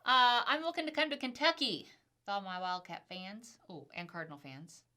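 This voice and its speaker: A woman's voice: medium pitch, sing-song, normal speed, sharp tone. A woman co-hosting an insurance news show, talking in an upbeat, folksy way. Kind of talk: casual chat.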